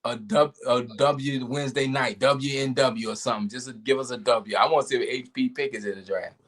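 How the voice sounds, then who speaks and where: An easygoing man hosting a podcast, talking in a relaxed, casual way. His voice sits in an ordinary middle range and sounds a little hoarse, as if he has been talking for hours. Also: mid-range voice, brisk. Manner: laid-back, relaxed sign-off talk. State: losing his voice. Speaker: podcast host.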